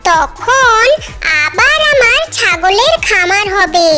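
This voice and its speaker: Very high-pitched cunning little cartoon fox. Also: animated, slow, full character voice. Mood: scheming. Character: cunning fox scheming to farm goats. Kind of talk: animated children's story dialogue. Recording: music behind the voice. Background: children's cartoon music.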